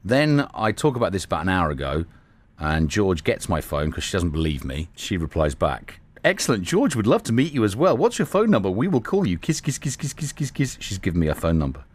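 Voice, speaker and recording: A deep-voiced man hosts a morning radio show in a studio. His voice swings widely as he jokes, laughs and reads messages aloud with playful energy. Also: huge pitch swings, a little fast. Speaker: radio host. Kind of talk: casual morning radio banter.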